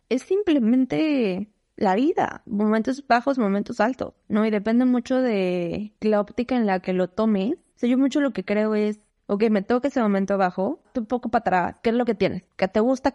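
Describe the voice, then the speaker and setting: A woman in her thirties from Mexico City with a Mexican Spanish accent, a guest talking expressively in quick bursts with lots of fillers. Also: on the high side, warm tone. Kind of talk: casual conversational interview. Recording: dull lo-fi recording.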